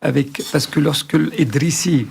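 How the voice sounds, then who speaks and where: A man's voice: fairly high pitch, moderate pace, vocal fry, darkish tone, slight background noise. A man speaking as a guest on a radio discussion, listing historical facts with many hesitations and fillers.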